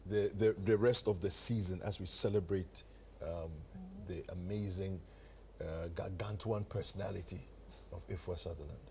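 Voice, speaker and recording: A Ghanaian man with a very low voice hosts a morning television interview. He speaks as the presenter, with some background noise around him. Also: fairly even intonation, normal speed, dark tone. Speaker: TV show host. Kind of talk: breakfast TV interview.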